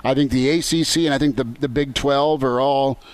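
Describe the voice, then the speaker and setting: A man's voice: medium pitch, steady tone, normal speed, smooth, no room sound. A man with an American accent hosting a conversational sports radio discussion on location. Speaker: sports talk show host. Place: on location at a golf tournament.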